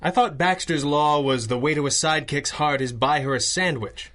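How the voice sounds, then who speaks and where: An actor playing a masked crimefighter boss, commanding and dryly wry, in a pulp radio drama. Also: male voice, fairly high pitch, normal speed, fairly bright tone, close to an everyday voice. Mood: wry, concerned. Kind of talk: radio drama acting in 1930s pulp style.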